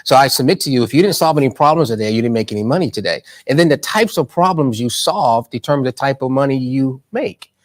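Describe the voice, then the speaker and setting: A man talks about money as an interview guest, in an emphatic and motivational way. He has a preacher-like cadence and often throws in rhetorical questions. His voice sits in a middling pitch. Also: animated, fast talker, few pauses.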